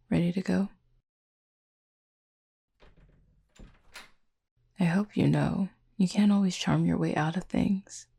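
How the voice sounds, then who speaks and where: A woman playing a reserved, tender cupid roommate in an intimate audio roleplay, speaking formally and gently wry in a breathy, husky voice. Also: fairly low pitch, fairly even intonation, moderate pace, heavily acted. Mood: wryly amused. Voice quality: husky, breathy, often near whisper.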